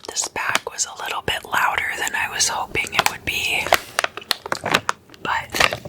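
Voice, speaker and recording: A person whispering in a breathy, unvoiced voice, chatting and explaining as an ASMR host in a deliberate manner. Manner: slow, deliberate, with drawn-out pauses.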